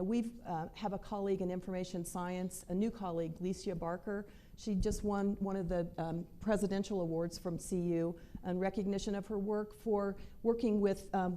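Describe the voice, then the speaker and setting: A woman's voice: lowish voice, warm tone. Earnest woman on a campus panel. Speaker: university administrator on a panel. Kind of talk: unscripted panel remarks.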